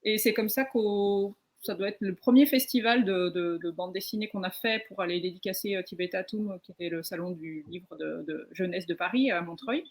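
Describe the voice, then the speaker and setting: A woman's voice: medium pitch, normal speed. A woman speaking as a comic book writer on a panel, hesitant and often restarting her phrases. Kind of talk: spontaneous panel account.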